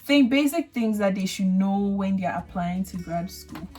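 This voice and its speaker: A young woman with a Ghanaian accent hosts a relaxed chat at a middle pitch. She tells her story with animation, acting out her own thoughts as she goes. Soft music sits faintly underneath. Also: normal speed. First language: a Ghanaian language. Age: young adult. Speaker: vlogger host. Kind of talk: casual chat.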